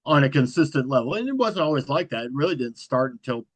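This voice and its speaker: A man as a guest in a casual interview. He speaks in a self-described monotone, even manner. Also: medium pitch, quick pace, no echo. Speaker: guest, horror content creator.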